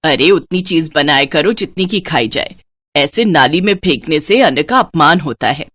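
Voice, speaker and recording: A woman's voice: deep voice, lively intonation, normal speed, fairly bright tone. A woman voicing a patient but scolding older mother-in-law who lectures about waste.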